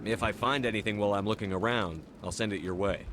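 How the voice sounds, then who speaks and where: A man's voice: fairly low pitch, fast talker, noisy background, lightly acted. A man playing a direct, businesslike military starship commander in video game dialogue. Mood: helpful, steady.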